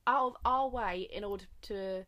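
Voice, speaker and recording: A young woman co-hosting a podcast, chatting quickly and tripping over her words, sitting close to the microphone. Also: medium pitch, fairly bright tone. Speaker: podcast co-host. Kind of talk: casual podcast chat. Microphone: sitting close to an uncovered mic.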